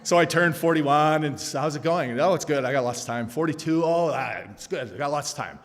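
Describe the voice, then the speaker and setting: A man in his forties giving a conference panel talk, telling a self-deprecating story with an animated delivery. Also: fairly high pitch, brisk. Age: 40s. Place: conference hall. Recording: long hall reverb.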